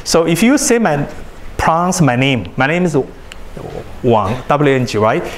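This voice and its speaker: A man whose native language is Chinese, giving a university lecture in a large hall, animated and often repeating words as he restarts sentences. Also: on the high side, unhurried, slight background noise. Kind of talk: classroom lecture.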